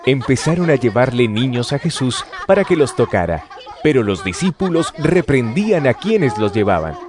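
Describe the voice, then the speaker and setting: A man's voice: mid-range voice, very animated, moderate pace. A man narrating scripture for an audio drama in a scripted storytelling style.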